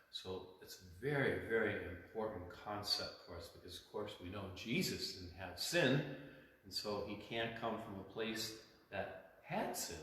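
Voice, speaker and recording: A man's voice: lowish voice, a bit bright. A man, a priest, teaching children informally about faith in simple words, with repetition and frequent checking questions. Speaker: priest hosting a children's church storytime. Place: inside a large church. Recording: very echoey church, long reverb, faint noise.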